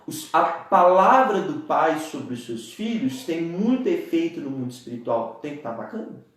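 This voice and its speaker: A young man in his twenties with a Brazilian Portuguese accent gives an earnest church talk and keeps turning to his listeners as dear ones. His voice sits a little high for a man. The room around him is very echoey, with his words ringing back. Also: big pitch swings, normal speed. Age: 20s. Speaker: preacher. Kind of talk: church teaching talk on a live stream.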